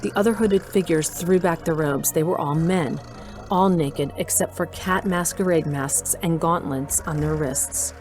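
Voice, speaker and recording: A woman with a low voice narrates a scripted audio drama, telling the story over a clear bed of music. Her delivery is that of a storyteller reading prepared narration. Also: measured pace, a little mellow.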